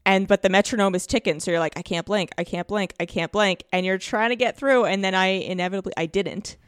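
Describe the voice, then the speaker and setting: A woman's voice: mid-range voice, brisk, clear, resonant tone, dry recording. Emphatic woman chatting, close dry sound. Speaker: podcast guest. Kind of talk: casual chat.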